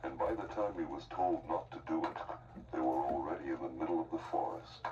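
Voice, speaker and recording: A man narrating a fairy tale in a theatrical, expressive storyteller's style. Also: extremely low pitch, brisk, noisy background. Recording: distant voice under loud music.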